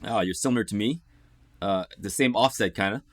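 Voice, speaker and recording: A man's voice: lowish voice, fairly quick, a bit bright, dry recording. A man from Ontario hosting a casual interview, upbeat and chatty. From Ontario, Canada. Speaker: interview host. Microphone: video call setup.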